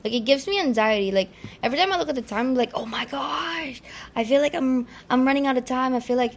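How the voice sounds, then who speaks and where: A woman's voice: high voice, quick pace. A woman in her twenties, raised in the Philippines, talking to camera in a chatty, distractible, self-mocking way full of "like" and restarts. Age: 20s. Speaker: vlogger. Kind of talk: casual rambling chat to camera. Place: room in the Philippines.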